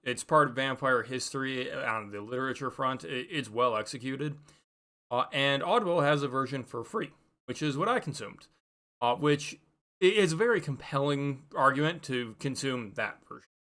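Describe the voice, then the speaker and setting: Bright, forceful man talking off the cuff. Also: medium pitch, lively intonation. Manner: rambling, halting, thinking aloud. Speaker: book reviewer. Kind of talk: casual unscripted book review monologue.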